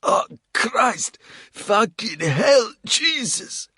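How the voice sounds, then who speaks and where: A man voicing a wounded, defiant South African male traitor, pushing his voice higher and spitting the words out. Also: slow pace, breathy edge, dry recording, clean studio sound. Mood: agonized.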